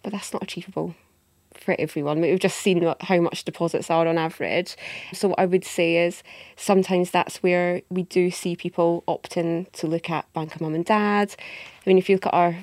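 A Scottish woman talks through money matters as an interview guest, explaining points steadily and patiently. Her voice sits a little low, and it is recorded close and dry, with no room sound. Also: normal speed, no echo. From Scotland. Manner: explanatory, steady, with small restarts. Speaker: mortgage expert guest. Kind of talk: podcast interview answers.

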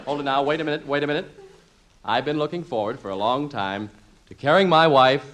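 An actor playing an excitable, exasperated American husband who stammers and exclaims, in 1950s radio comedy style. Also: male voice, mid-range voice, brisk, natural voice. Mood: weary, insistent. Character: excitable, exasperated husband and father moving house. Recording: noisy vintage 1950s radio recording.

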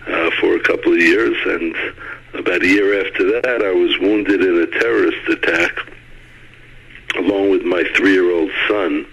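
A man's voice: deep voice, fairly even intonation, normal speed, vocal fry, a bit bright, breathy edge, rough recording. A middle-aged man from Brooklyn, a former mayor, giving an earnest interview over the phone and often repeating words as he starts a sentence. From United States (Brooklyn). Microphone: phone line.

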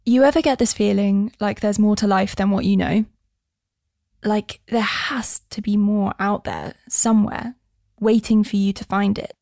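Actress as ordinary british woman telling her story in an audio drama, wondering, chatty and insistent, bit of fry in the voice. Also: medium pitch, steady tone, moderate pace, dark tone, dry recording, studio quality, lightly acted. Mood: wistful, searching. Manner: conversational, confiding, emphatic. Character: ordinary young woman in London, wondering and confiding. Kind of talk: first-person audio drama monologue.

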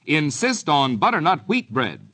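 A man's voice: fairly high pitch, big pitch swings, unhurried, very noisy. An American man reading an old-time radio commercial as announcer, enthusiastic and persuasive.

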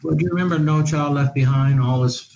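A man's voice: a little high, fast talker, darkish tone, lo-fi sound. A man who is a guest expert on an education podcast, talking in long, discursive answers with many restarts. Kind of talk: podcast interview. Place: living in Portugal.

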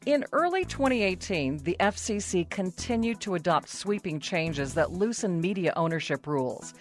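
Woman, American accent, slightly low pitch, measured news narration, faint background music. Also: animated. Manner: formal, measured. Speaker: radio news reporter. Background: faint music under narration.

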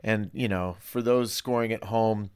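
A man's voice: on the low side, steady tone, unhurried, clean tone. A man hosting a panel conversation in a playful, teasing, conversational way. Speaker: podcast host and interviewer.

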